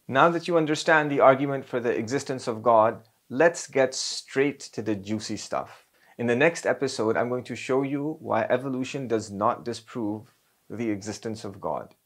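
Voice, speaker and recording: Man explaining theology step by step. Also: medium pitch. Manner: measured, builds points step by step with emphatic pauses. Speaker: Islamic scholar and teacher. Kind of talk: explanatory lecture to camera.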